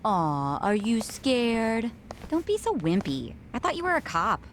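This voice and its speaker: An actress voicing a brash, teasing young woman in an English video game dub, with a taunting, drawn-out delivery. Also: on the high side, very animated, slight background noise.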